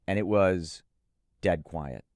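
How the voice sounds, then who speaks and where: A man's voice: low-pitched, slow, a little mellow, dry recording, studio quality. A man co-hosting an audio gear preview, chatting enthusiastically and emphatically about products. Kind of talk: enthusiastic product review chat.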